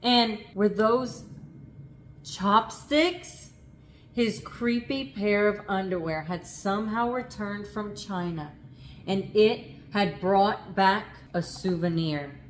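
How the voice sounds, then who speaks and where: A woman reading a picture book aloud in a dramatic children's narration, expressive and stretching words for suspense. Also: medium pitch, slow, a little hiss or hum.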